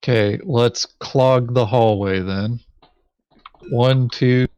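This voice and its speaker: A man's voice: mid-range voice, steady tone, slow, darkish tone, clean tone, no echo, dull audio. A man chatting casually over a board game in brief, matter-of-fact remarks. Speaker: board game player on a playthrough show. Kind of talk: casual tabletop game chat.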